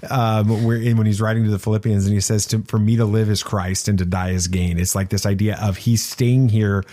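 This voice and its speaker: A man's voice: fairly low pitch, little pitch movement, fairly quick, dark tone. A middle-aged man co-hosting a podcast in casual fan discussion, speaking emphatically and a little preachy, repeating himself for weight. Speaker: podcast co-host.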